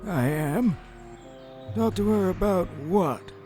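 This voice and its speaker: An actor playing a guarded, grumbling older husband in an audio drama, with a folksy, exasperated manner. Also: male voice, sits high, lively intonation, slow, mellow, soft background music. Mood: guarded, suspicious.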